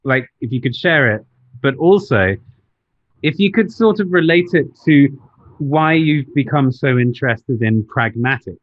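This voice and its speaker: Encouraging podcast host, dull audio. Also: male voice, medium pitch, expressive, measured pace. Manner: encouraging, frequent backchannels. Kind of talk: interview, casual talk.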